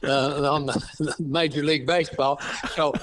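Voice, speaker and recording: An older man in his eighties with an East Texas accent, chatting as a guest author, halting with many fillers and restarts. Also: on the high side, level tone, noisy background. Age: 80s. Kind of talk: casual interview chat.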